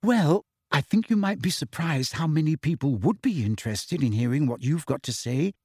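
A man's voice: on the high side, lively intonation, moderate pace, a little mellow, husky, no echo, studio quality. A man voicing an earnest, bossy teenage girl who lectures her friends. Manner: eager, lecturing.

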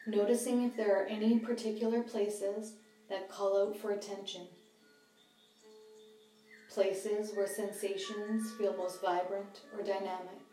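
Female meditation guide, soft-edged mellow tone, calm measured phrasing, recorded slightly away from the mic with music behind. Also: mid-range voice. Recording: slightly distant roomy sound, music behind.

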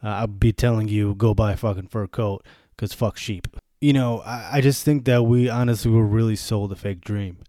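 A man's voice: fairly low pitch, steady tone, normal speed, mellow, no echo, clean studio sound. A man of thirty hosting a solo podcast, venting candidly in a sardonic, freely swearing way. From born in Germany to Bosnian refugees, raised in the US. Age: 30s. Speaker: podcast host.

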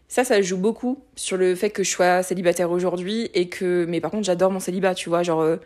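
A woman in her late twenties talking candidly in a casual conversation, lively, with many fillers and restarts. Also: lowish voice, a little fast. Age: late 20s.

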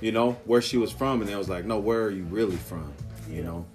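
A man from Samoa who moved to California, chatting casually in a group and chiming in with jokes. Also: on the low side. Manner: jokey, quick to chime in. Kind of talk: casual joking group chat. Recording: music behind the voice. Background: music.

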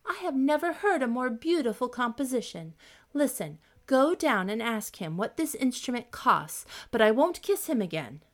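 A spoiled young princess with a high, lifted voice, imperious and fussy in her commands, as a woman reading a storybook puts her on. She speaks much higher than plain talk and a touch louder, every line a demand. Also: sing-song, moderate pace. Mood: haughty. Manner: imperious, wailing when upset.

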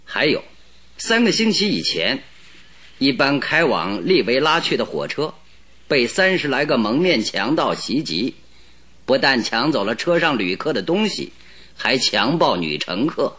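A shrewd, self-assured detective from an old radio play. He presses and mocks with cool precision, his bright voice rising and falling in lively swings. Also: medium pitch, a little slow, breathy edge, exaggerated voice. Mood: accusing. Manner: probing, mocking, coolly pressing. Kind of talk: radio drama acting.

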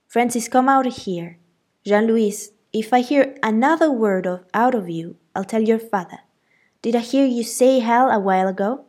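A woman voicing a strict older aunt who scolds the children sharply and loudly. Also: medium pitch, measured pace, hardly any pauses, husky. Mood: scolding.

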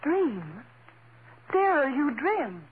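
An actress playing an affectionate, concerned sweetheart in an old-time radio drama, with a theatrical, solicitous delivery. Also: female voice, sits high, sing-song, very slow, full character voice. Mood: delighted, teasing. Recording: tinny, very noisy vintage radio recording.

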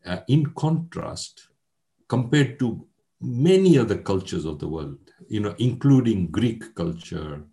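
A man in his sixties with a very deep, low-pitched voice speaks as an interview guest. He talks in an earnest, measured way, often pausing and restarting while he thinks. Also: animated, dark tone. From Kenya. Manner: measured, earnest, with frequent hesitations. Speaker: guest, education academic. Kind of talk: conversational interview.

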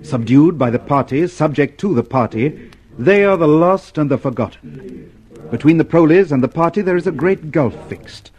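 A man's voice: a little high, lively intonation, moderate pace, a little mellow. A man giving dramatic scripted narration for a radio play in a solemn, declamatory way. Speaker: narrator and announcer.

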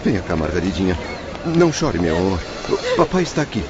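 A man's voice: sits low, very animated, a little fast, over a noisy crowd. An actor playing a loving middle-aged father's ghost, emotional and pleading, in melodramatic radio drama style. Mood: tender pleading. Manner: emotional, swinging from tender to commanding. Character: anguished, loving father's ghost, unheard by his family. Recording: dull recording with music behind.

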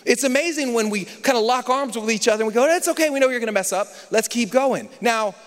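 A young adult man from the United States preaching a sermon, moving between loud, lively passages and hushed, confiding ones. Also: very high voice, quick pace. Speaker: pastor. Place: large church hall. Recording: church hall reverb.

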